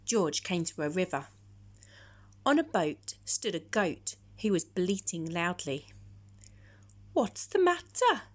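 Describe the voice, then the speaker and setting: A woman storyteller narrating a children's picture book in a measured, expressive way. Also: on the low side.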